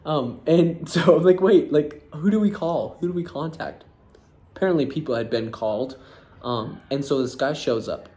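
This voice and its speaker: An American man in casual storytelling, animated and laughing as he acts out what people said. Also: fairly high pitch, normal speed. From United States. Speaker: vlogger.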